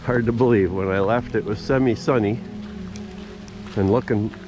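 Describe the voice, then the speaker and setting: A man's voice: on the low side, fairly even intonation, normal speed, music in the background, rough recording. An older man narrating a walk outdoors in a casual, understated, musing way into a handheld camera. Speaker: vlogger. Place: outdoors on a rural trail in rain. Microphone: handheld camera at arm's length.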